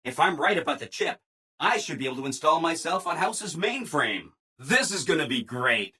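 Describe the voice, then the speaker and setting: A bright, forceful robot helper, relentlessly cheerful and servile. The voice swoops up and down with chirpy, exaggerated enthusiasm and sits a little high, in polished video game dialogue style. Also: male voice, sing-song, normal speed, husky, exaggerated voice. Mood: cheerfully obliging.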